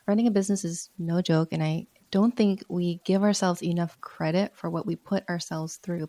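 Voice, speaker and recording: A woman hosting a solo podcast, speaking in an earnest, encouraging way, her voice slightly hoarse from a sore throat. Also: fairly low pitch, mellow, no echo. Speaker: podcast host.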